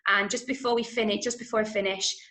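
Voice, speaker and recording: A woman with an English accent teaching an online drama workshop, enunciating clearly and coaching with encouragement. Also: mid-range voice, fairly even intonation, fast talker, sharp tone. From Manchester, England. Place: indoor room, online video call. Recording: roomy, echoing video-call sound.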